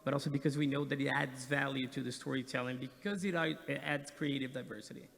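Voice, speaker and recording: A man's voice: medium pitch, fairly even intonation, brisk, gravelly, a bit bright. A man from Brazil, a television writer, giving earnest answers on a panel in an echoey hall. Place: large indoor conference hall.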